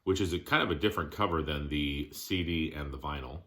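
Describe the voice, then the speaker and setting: Very deep, bright-voiced man presenting casually. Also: fairly quick, a little hiss or hum. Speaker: music collector showing his collection. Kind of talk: casual show-and-tell vlog.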